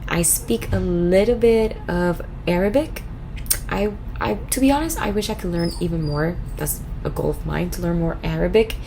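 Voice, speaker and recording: A woman, casually answering viewer questions in a vlog, chatty and relaxed with lots of fillers. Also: fairly low pitch, normal speed, creaky, slight background noise, poor audio. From Puerto Rico. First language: Spanish. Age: 20s. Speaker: vlogger.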